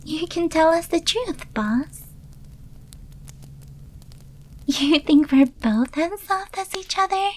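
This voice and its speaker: A woman playing a clingy, devoted young yandere maid in an ASMR roleplay, speaking softly and often slipping into a pleading whisper. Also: very high-pitched, animated, a little slow, poor audio. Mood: teasing, submissive. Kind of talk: breathy ASMR roleplay acting.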